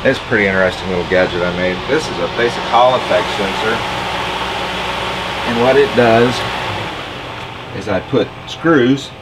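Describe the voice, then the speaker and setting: A man's voice: on the low side, noisy background. A man in his forties casually walking through a homemade project in his cluttered workshop, explaining step by step, picked up by a camera mic a few feet away. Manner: conversational, explaining step by step with fillers. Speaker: boat owner and DIY tinkerer. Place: cluttered home workshop, indoors.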